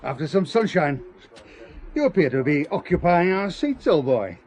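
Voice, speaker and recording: A man's voice: a little high, very animated, moderate pace, a little mellow. A man playing a wealthy, urbane manufacturer and racing driver in an audio drama, hearty with old-boy phrasing. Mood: breezy, sociable.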